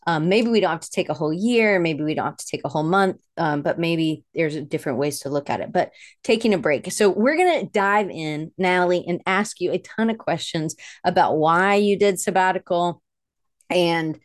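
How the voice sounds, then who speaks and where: A woman's voice: lowish voice, expressive, moderate pace. A woman hosting a live online show in an informal, enthusiastic way, chatty and often correcting herself as she goes. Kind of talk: enthusiastic, informal guest introduction.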